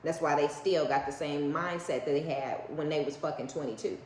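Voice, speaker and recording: A woman with a low voice and an American accent hosts an informal tarot reading. She is blunt and sassy, leans on slang and swearing, and tags her points with little questions. The room is echoey, with faint noise behind her. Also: a little fast, darkish tone. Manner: often seeks agreement with short tag questions. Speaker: tarot reader, online host. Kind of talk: casual, unscripted tarot reading addressed to viewers.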